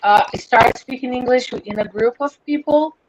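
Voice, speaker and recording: A woman's voice: a little high, normal speed, noisy background. A woman from Brazil with a Brazilian accent, an English teacher chatting casually on a live stream and often restarting her sentences. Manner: hesitant, repeats words and restarts. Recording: dull lo-fi stream audio.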